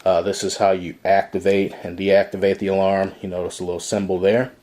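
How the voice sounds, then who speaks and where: Low-pitched man demonstrating a product. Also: flat delivery, brisk, dark tone. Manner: explanatory, step-by-step walkthrough. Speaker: product reviewer. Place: indoor room.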